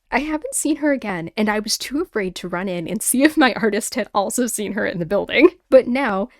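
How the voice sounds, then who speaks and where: A woman hosting a paranormal story show, reading listener tales aloud and reacting with animated, rambling, exclamatory asides. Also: medium pitch, dry recording, studio quality. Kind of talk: chatty commentary and reading listener stories aloud.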